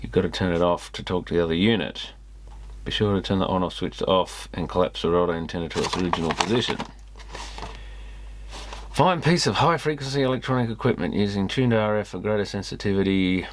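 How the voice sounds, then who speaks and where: A man's voice: low voice, brisk. A man hosting a repair video, casually commenting and reading a manual aloud with muttered asides. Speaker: host of an electronics repair video channel.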